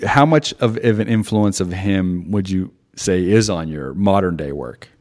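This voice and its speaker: A man hosting an interview, asking measured, prepared questions. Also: low voice, darkish tone. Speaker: interviewer host.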